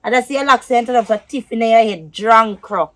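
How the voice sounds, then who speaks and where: A woman's voice: on the high side, fairly quick, natural voice. A woman with a Jamaican accent playing a feisty, scornful lover in a drama, scolding and repeating her words for emphasis. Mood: outraged, scolding.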